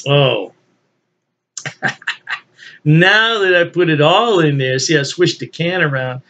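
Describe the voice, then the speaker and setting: A man's voice: on the high side, expressive, slow pace, bright, forceful tone, lo-fi sound. An older man chatting casually and folksily as he reviews a beer at his home desk, chuckling to himself now and then. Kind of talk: casual solo video review. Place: home office with beer memorabilia, indoors. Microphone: webcam at desk.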